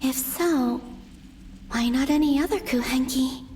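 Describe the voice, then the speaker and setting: A woman voicing a gentle, pensive young moon goddess in a video game, speaking softly in measured, introspective monologue. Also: high-pitched, a little hiss or hum. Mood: pensive, solemn.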